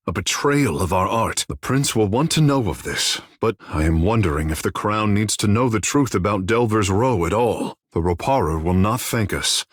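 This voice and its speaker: Flamboyant husky young demigod, swooping intonation. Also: male voice, lowish voice, no room sound, clean studio sound. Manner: theatrical, lofty formal phrasing. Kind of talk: scripted fantasy video game dialogue.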